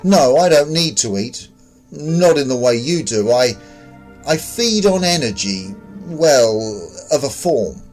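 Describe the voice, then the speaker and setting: A man's voice: mid-range voice, sing-song, slow pace, a little mellow, soft background music, low-quality recording. A man voicing a detached, curious alien visitor in a story, formal and literal in manner. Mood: hesitant.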